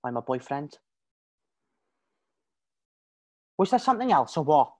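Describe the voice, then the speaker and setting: Low, swinging-pitched cocky British dealer acted by a woman. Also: very animated, quick pace, darkish tone, dry recording, studio quality. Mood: dismissive. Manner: dismissive, mocking, sweary. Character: cocky, evasive young male small-time drug dealer. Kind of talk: acted scene from a drama showcase.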